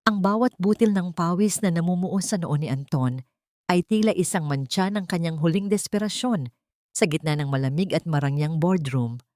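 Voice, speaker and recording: A woman storyteller with a fairly low voice delivers scripted drama narration. Her delivery is measured and emphatic, building weight on each phrase. The recording is close and dry. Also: darkish tone, no echo.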